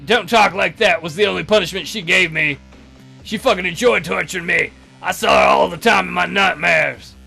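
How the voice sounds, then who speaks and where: Man doing a loud, crude, loutish dog guard. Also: high-pitched, moderate pace, vocal fry, husky, soft background music, voice pushed down, heavily acted. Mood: bitter, sneering. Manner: coarse, loud, sneering. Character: crude, loutish, lecherous male guard dog, surly and brash. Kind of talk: visual novel character voice-over.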